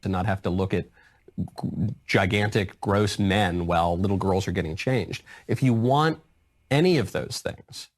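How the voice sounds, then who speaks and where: A man's voice: deep voice, a little slow. A man giving a firm, declarative speech to a conference audience. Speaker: political speaker.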